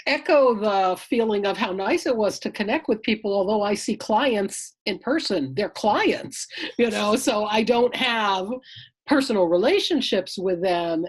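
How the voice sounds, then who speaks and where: Husky woman in her sixties. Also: medium pitch. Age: 60s. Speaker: trip participant giving a testimonial. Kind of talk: casual personal recollection.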